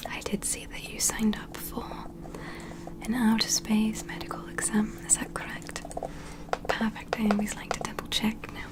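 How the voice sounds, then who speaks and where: A woman playing a gentle, attentive alien medical examiner in an ASMR roleplay, whispering slowly and soothingly in a breathy, reassuring way. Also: fairly high pitch, natural voice, rough recording. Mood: calm, welcoming. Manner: slow, soothing, reassuring, procedural.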